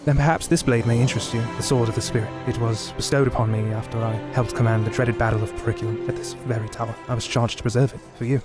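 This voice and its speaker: A man playing a shadowy, wry rogue in a fantasy audio drama, speaking in a measured, cryptic way. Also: mid-range voice, fairly even intonation, natural voice. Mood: mysterious. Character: shadowy male rogue, mysterious, wry dealer in blades. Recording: music bed under voice.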